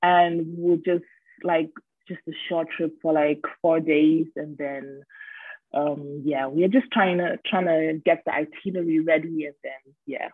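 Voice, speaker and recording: A woman's voice: sits low, steady tone, measured pace, husky, dry recording. A woman in her twenties from Germany, a podcast guest chatting casually and openly, with frequent ums and easy laughs. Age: 20s. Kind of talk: casual interview chat. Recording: tinny phone-like line.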